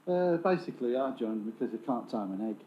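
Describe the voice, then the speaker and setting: A man's voice: medium pitch, animated, quick pace. A man from Lincolnshire, a drummer chatting in an interview in a jokey, rambling way, on an echoey, muffled and noisy recording. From England, raised in Lincolnshire. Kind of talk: casual, rambling interview chat.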